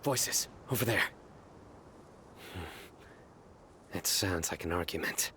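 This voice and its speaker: Watchful protector, terse, serious, fairly deep, game dialogue, slight background noise. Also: male voice, takes it slowly, sharp tone, heavily acted. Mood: wary. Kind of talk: video game voice acting. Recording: faint ambient noise behind.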